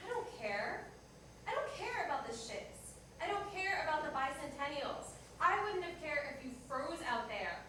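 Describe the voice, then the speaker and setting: A woman's voice: high voice, big pitch swings, moderate pace. A woman playing a bitter, jilted coffee-shop manager in a staged reading, with a curt, sarcastic and accusing manner. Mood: curt, scornful. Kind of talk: staged reader's theater dialogue. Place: indoor performance room, distant microphone. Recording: echoey noisy room recorded from far off.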